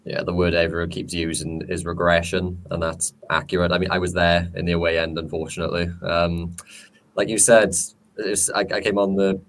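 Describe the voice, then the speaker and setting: A man talking as a guest on a casual football podcast, giving measured analysis. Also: sits low, a bit bright, a little hiss or hum. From Wales.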